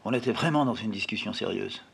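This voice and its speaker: A man telling a first-person childhood memory in a plain, unhurried, quietly reflective way. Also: lowish voice, gravelly, husky. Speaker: storyteller. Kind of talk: first-person memoir narration.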